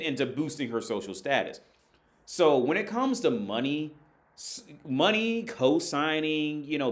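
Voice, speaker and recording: A man from the United States hosting a casual call-in livestream, talking loosely with lots of swearing and laughter. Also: mid-range voice. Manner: loose, profane, laughing, animated.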